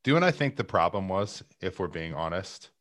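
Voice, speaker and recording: A man's voice: sits low, quick pace, soft background music. A man co-hosting a sports podcast, chatting casually and joking at his own expense while breaking down games. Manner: playful, self-mocking, animated analysis. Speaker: podcast co-host.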